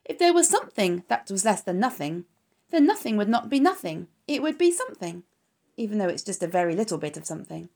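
A woman voicing a young, lonely male pig who talks in a high, pleading, whiny way. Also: sing-song, a little fast, creaky, a little mellow. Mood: earnest.

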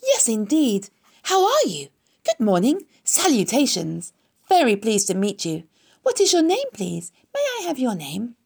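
Storybook young pig, played by a woman: high, animated, earnest, polite, naive and excitable. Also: a little slow, vocal fry, hardly any pauses, heavily acted. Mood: eager. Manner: earnest, excitable, loud exclamations.

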